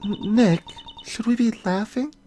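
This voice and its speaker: A man voicing a perky, excitable young female sidekick in a video game, quick to take offence. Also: high voice, slight background noise, voice pushed up. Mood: unsure.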